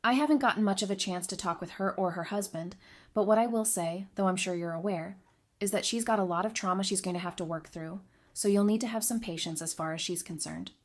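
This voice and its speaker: A woman's voice: mid-range voice, fairly quick, natural voice. A woman playing a perceptive, candid advisor in an audio drama, talking in a thoughtful, frank way. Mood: thoughtful, guarded. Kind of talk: fan audio drama dialogue.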